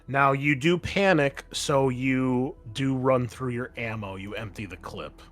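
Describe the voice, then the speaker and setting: A man acts as game master at a tabletop roleplaying game. His voice sits at a medium pitch. He moves between narrating the scene and explaining rules, with casual, slightly hesitant asides. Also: measured pace, fairly bright tone, soft background music.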